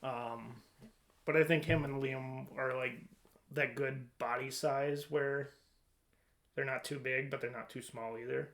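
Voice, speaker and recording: A man's voice: medium pitch, steady tone, measured pace, a bit bright. A man co-hosting a podcast in casual chat, informal and often thinking aloud as he goes. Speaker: podcast co-host. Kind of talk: casual chat about movie casting.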